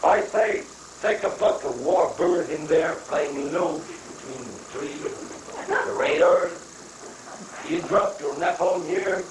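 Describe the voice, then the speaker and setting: A man playing a high-pitched bombastic general, distant and echoey. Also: slow pace. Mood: gung-ho, excited. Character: gung-ho warmongering male army general, bombastic and cynical. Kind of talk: dramatic reading of a satirical play. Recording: roomy, noisy live recording, distant microphone.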